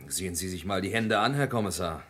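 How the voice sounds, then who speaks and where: An actor playing a plain-spoken, defensive village farmhand in a German radio drama, justifying himself. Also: male voice, sits low, fast talker, fairly bright tone.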